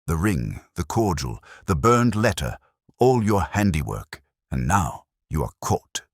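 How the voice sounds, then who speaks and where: Man voicing very deep, theatrical Victorian detective. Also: very animated, a little slow, no echo, studio quality, exaggerated voice. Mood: commanding, triumphant. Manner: theatrical, precise, declamatory. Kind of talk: audiobook detective story dialogue.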